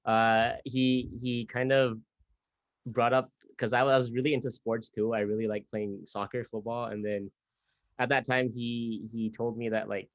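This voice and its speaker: A man from Thailand, a guest musician, answering interview questions in relaxed storytelling. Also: mid-range voice, measured pace, no room sound, dull audio.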